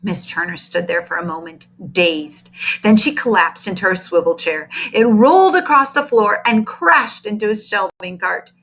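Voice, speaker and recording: A woman reading a children's storybook aloud as narrator, in an expressive, deliberate manner. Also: medium pitch, low-quality recording. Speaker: storybook reader.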